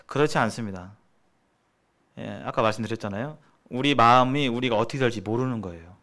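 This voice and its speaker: A man speaks as a church seminar teacher. His pitch sits in the middle of the male range. He explains things in a conversational, didactic way and often turns to his listeners with questions. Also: brisk, fairly bright tone. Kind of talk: sermon-like teaching to church members.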